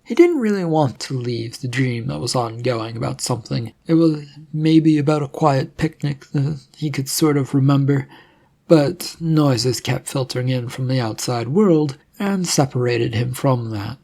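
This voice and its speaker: Husky voice, medium pitch, scripted story narration, storyteller. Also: measured pace, dark tone. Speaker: storyteller reading own serial fiction.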